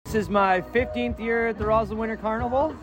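High-voiced upbeat man at outdoor races. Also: fairly even intonation, brisk, over music. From Canada. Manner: upbeat, casual. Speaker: bobsled competitor. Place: outdoors at a festival.

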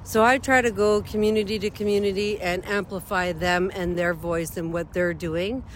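A woman's voice: mid-range voice, steady tone, normal speed, slight background noise, rough recording. A woman from Northern Ontario, an advocate speaking earnestly and plainly in an outdoor interview, with fillers here and there. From Northern Ontario, Canada. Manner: heartfelt, plain-spoken, with frequent fillers. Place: outdoors beside a street.

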